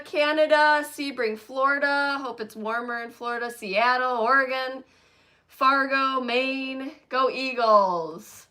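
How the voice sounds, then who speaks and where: A chatty woman hosting a casual live stream into a phone camera. Her voice is bright and lively, and she laughs easily as she greets viewers and tells travel stories. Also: fairly high pitch. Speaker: co-host of a stitching live stream.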